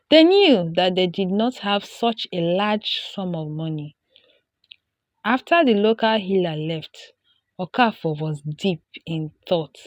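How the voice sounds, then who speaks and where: Nigerian lady reading a folktale, takes her time, dramatic, kinda low voice. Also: dry recording. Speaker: storyteller. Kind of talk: scripted folktale narration.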